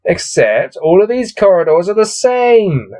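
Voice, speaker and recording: A man's voice: sits high, big pitch swings, a little slow, dark tone. A man hosting a gamebook read-through, mixing read-aloud narration with casual, bored and exasperated commentary. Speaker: host reading a gamebook aloud.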